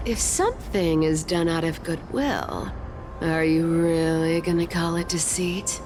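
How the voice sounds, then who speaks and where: A woman voicing a sly, flippant thief in a video game, talking in a casual, teasing, expressive way. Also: low-pitched, unhurried, background noise. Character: sly, flippant female trickster thief, defensive and sassy. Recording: background music under the voice.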